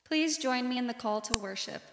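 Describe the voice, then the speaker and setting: Young female worship leader, formal liturgical reading, higher pitch, long church reverb. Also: fairly quick, background noise. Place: church sanctuary at a lectern.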